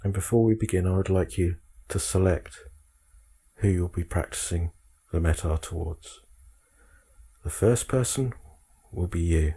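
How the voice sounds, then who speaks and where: A man leads a guided meditation in a deep, dark voice. The tone is husky and relaxed. He delivers calm instructions in short, measured phrases, leaving space between them.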